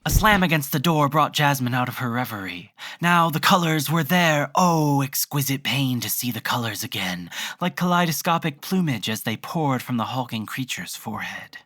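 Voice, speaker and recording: A narrator reading scripted horror fiction in a measured, literary storytelling manner. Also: mid-range voice, expressive, fairly bright tone, no room sound, studio quality. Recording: faint noise and ambience behind voice.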